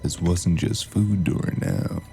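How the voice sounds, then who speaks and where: A man playing a hardboiled noir narrator, delivering ominous scripted lines in clipped, deliberate phrases. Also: low-pitched, creaky, over music. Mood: grim, ominous.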